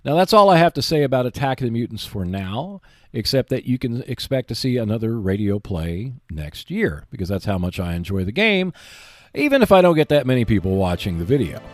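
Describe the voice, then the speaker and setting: A man hosting a hobby show, giving explanatory, semi-scripted commentary in a measured, emphatic way. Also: medium pitch, very animated, dry recording. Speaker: host of a board game video show.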